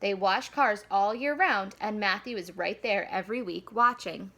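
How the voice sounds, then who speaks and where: A woman reading a children's storybook aloud in an animated, deliberate storyteller delivery. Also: medium pitch. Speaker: school staff member reading aloud.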